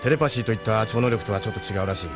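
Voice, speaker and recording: A man playing a gruff, steadfast ship captain and mentor in a Japanese audio drama, speaking bluntly and urgently. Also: lowish voice, racing, over music, lightly acted. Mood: earnest, encouraging.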